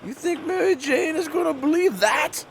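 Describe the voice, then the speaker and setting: A man's voice: extremely high pitch, animated, normal speed, vocal fry, slight background noise, heavily acted. A man acting in a comedy horror audio drama as a meek, hesitant younger brother. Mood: worried. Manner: hesitant, submissive. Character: meek, slow-witted hillbilly younger brother, reluctant sidekick.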